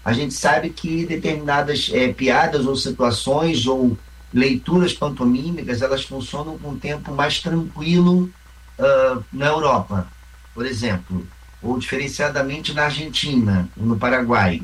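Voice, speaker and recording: A man with a Carioca Brazilian accent speaks with a reflective manner. He explains his ideas patiently and backs them with examples. His pitch and energy sit in an ordinary middle range. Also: a little slow, distant mic, low-quality recording. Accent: Carioca Brazilian Portuguese. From Rio Grande do Sul, Brazil. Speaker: theatre company member, mime actor. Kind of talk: interview answers.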